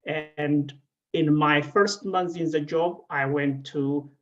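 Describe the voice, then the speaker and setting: A middle-aged man with an Ethiopian accent, a guest speaker at an online event, telling his personal story haltingly with frequent pauses. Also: fairly high pitch, steady tone, a bit bright, lo-fi sound. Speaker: regional director, guest speaker.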